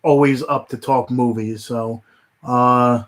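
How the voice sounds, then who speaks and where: A man hosting a casual livestream, rambling with many fillers and restarts, his voice starting to go hoarse. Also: medium pitch, steady tone, takes it slowly. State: voice getting hoarse. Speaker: livestream host.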